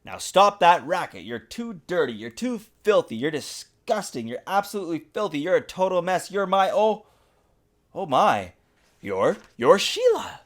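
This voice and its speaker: A man's voice: high-pitched, big pitch swings, a little slow, pitched above the performer's own voice. A man voicing a stern, easily flustered father in a children's storybook, scolding with exasperated emphasis. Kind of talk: acted children's storybook reading.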